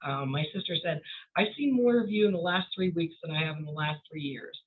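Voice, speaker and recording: A woman's voice: sits low, brisk. A woman guest casually chatting about herself over a thin, narrow call connection. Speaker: office manager, interview guest. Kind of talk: casual chat, answering personal questions. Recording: tinny phone-like call audio.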